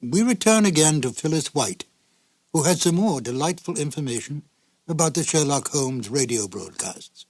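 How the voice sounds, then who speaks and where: A man's voice: fairly high pitch, animated, moderate pace, a little mellow, no room sound. A man hosting a radio program with formal, courteous scripted introductions. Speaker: program host. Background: faint music.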